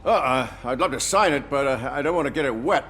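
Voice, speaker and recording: A man's voice: medium pitch, expressive, fast, a bit bright, breathy edge. An actor playing an awkward, distracted policeman in a video game, sheepish and full of hesitations. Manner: stammering, sheepish.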